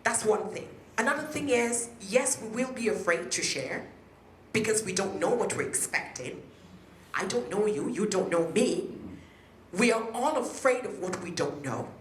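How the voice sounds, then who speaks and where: A woman from Nigeria speaks into a mic in a hotel conference room, emphatic and engaging, her voice at a middling pitch. The room is echoey, with a faint hum of ambience behind her. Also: lively intonation, normal speed. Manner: emphatic, engages the audience with questions. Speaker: speaker at a peace event. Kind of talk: motivational talk to an audience.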